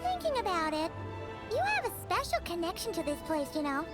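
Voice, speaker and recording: Tiny cheeky girl sidekick, extremely high voice, swooping excitable delivery, video game, music behind. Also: brisk, heavily acted. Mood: chatty, cheeky. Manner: exclamatory, chatty, refers to herself in third person. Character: small childlike chatty floating companion girl, excitable and cheeky. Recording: game dialogue with loud background music.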